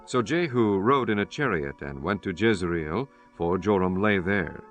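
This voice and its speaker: A man narrating a dramatized scripture audiobook in a measured, solemn way. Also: sits low, animated, fairly bright tone. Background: music.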